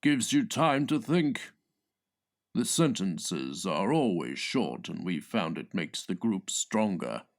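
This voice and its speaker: A man voicing a discreet, earnest gang member who speaks in a hushed, matter-of-fact, confidential way in a comic audiobook. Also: deep voice, big pitch swings, takes it slowly, voice pushed down, exaggerated voice. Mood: confiding. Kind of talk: comic audiobook character reading.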